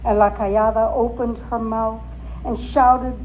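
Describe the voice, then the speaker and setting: A woman giving a theatrical, suspenseful dramatic reading of a story, with deliberate emphasis. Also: on the high side, level tone, dull audio, slight background noise. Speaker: author and storyteller.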